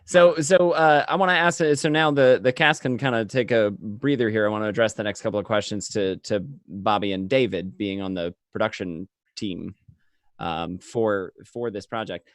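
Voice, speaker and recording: A man's voice: on the low side, normal speed, dry recording. A man hosting a casual podcast interview in a jokey way, often repeating his words. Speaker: host asking the questions.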